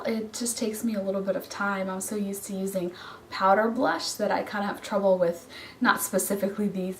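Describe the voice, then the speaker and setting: A woman with a cold and a hoarse voice, chatting casually through a makeup haul review with frequent ums and restarts. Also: medium pitch. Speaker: beauty vlogger. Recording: roomy echo, from a distance, faint noise.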